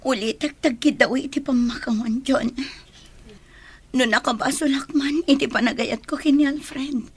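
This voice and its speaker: A woman playing a remorseful, heartbroken young woman in an Ilocano radio drama, speaking softly and apologetically. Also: high voice, a little slow, breathy edge, heavily acted. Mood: pleading, remorseful.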